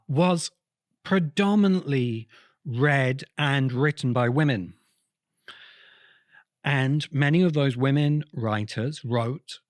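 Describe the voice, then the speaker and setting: A man hosting a podcast, explaining literary history in a deliberate, emphatic way with pauses between phrases. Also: medium pitch, dry recording, clean studio sound. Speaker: podcast host.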